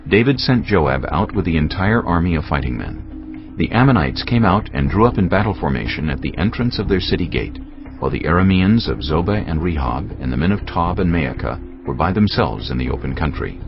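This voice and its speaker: A man narrating a dramatized audio Bible with measured, solemn storytelling delivery. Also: low-pitched, animated, dull audio. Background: background music.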